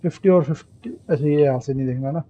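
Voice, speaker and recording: A woman's voice: sits low, very fast, lo-fi sound, soft background music. A woman with an Indian accent giving casual live game commentary, muttering and repeating herself as she thinks aloud. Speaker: game streamer. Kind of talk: casual live gameplay commentary mixing Hindi and English.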